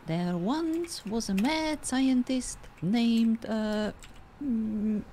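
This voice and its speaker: A woman improvising in-character conversation in an online game, halting as she searches for words. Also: on the high side, mellow, a little hiss or hum.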